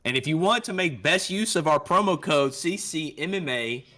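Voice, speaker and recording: A man's voice: fairly high pitch, expressive, normal speed, bright, forceful tone. A man co-hosting a podcast in casual sports chat, teasing and quizzing his partner over fight predictions. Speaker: co-host of an MMA podcast.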